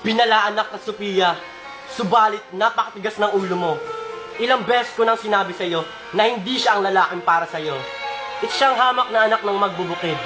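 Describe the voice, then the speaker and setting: A man's voice: high-pitched, fairly quick, natural voice. A Filipino man playing a stern, haughty, angry rich father in an amateur radio drama. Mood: angry, scornful. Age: young adult. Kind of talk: melodramatic radio drama acting. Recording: loud music under the voice.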